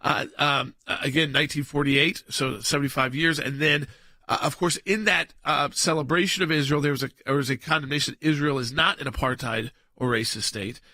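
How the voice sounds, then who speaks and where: A man hosts a call-in talk radio show, bantering loosely with his co-host. His voice is bright and a bit high. The studio sound is dry and close. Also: fairly even intonation, a little fast, no room sound. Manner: conversational, banters with co-host, reads out lists. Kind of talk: casual political talk radio.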